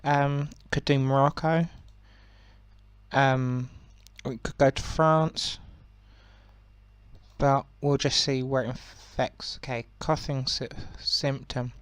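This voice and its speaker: A young man with a croaky voice giving relaxed, rambling commentary on a strategy game, with many ums and self-corrections. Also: medium pitch, slow pace, no room sound. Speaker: gaming channel host.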